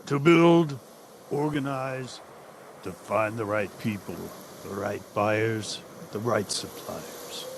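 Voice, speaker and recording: An actor playing a proud, cruel slave trader in an audio drama, vengeful and deliberate, with theatrical threats. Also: male voice, on the low side, slight background noise. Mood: boastful, menacing. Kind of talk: audio drama villain acting.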